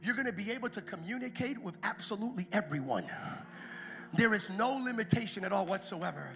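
High gravelly voiced man preaching, goes quiet then shouts it out, music under him. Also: expressive, a little fast. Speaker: pastor. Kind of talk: Pentecost sermon. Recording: music playing behind the preaching.

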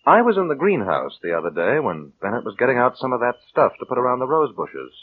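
An actor playing a flattering, scheming suitor with an ingratiating, insinuating manner in mid-century radio drama. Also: male voice, mid-range voice, fast, slightly rough, phone-quality sound. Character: smooth-talking, scheming, flattering suitor and weak writer. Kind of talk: 1940s-50s radio drama acting. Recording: band-limited old radio broadcast.

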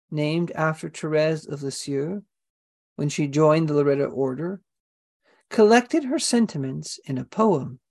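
A woman narrating a documentary script in a slow, solemn reading voice. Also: on the low side, mellow.

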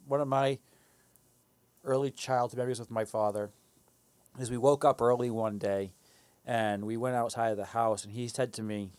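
A man co-hosting a relaxed faith podcast, mid-pitched and conversational. He stops and restarts his sentences as he thinks aloud. The recording is close and dry. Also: no echo. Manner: halting, restarts phrases, playful. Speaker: podcast co-host. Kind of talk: casual faith discussion.

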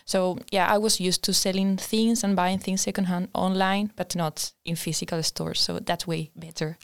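A woman's voice: fairly low pitch, level tone, moderate pace, clean tone, dry recording. Young woman from Spain, native Spanish, easygoing guest, dry close sound. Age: young adult. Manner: laughs easily, hesitant phrasing. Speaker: guest, design master's student. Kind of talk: casual podcast chat.

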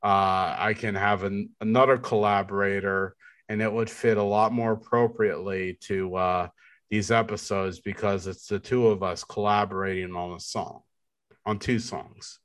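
A man with a deep baritone, co-hosting a podcast in slow, rambling casual chat full of fillers and restarts. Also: steady tone, a bit bright. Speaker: podcast co-host.

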